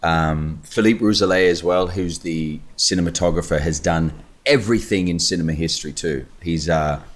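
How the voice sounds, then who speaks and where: Man from Australia, very deep voice, eager casual storyteller. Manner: eager storyteller, lists credits quickly. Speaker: actor guest. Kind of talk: casual chat.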